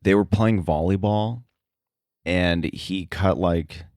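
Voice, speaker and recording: Male interview guest, low register, loose conversational shop talk that stops and restarts, dry recording. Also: a little mellow, no echo. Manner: halting, filler-heavy, circling back to rephrase. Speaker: interview guest, sound effects editor.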